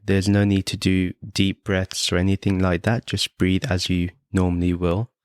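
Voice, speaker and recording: A man teaching a guided meditation in a measured, instructive way, speaking in short, repeated phrases with pauses. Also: low-pitched, little pitch movement, clear, resonant tone, no echo, clean studio sound. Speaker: meditation teacher and podcast host.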